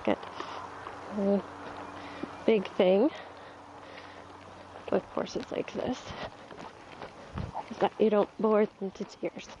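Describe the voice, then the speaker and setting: A woman with a stuffy voice from a cold, calmly explaining her training as she rides in an outdoor arena. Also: mid-range voice, very slow, pauses often, noisy background. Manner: calm, coaching, talks while riding. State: stuffy, getting over a cold. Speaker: dressage rider and trainer. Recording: dull lo-fi outdoor sound. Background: faint outdoor ambience and horse.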